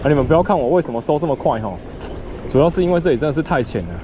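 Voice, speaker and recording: A man's voice: on the high side, racing. A young man with a Taiwanese Mandarin accent, casually narrating his own fishing trip to the camera and muttering to himself as he casts. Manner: chatty, muttering to himself, bursts of excitement at catches. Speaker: fishing vlogger. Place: outdoors by a shallow river. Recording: outdoor noise on the mic.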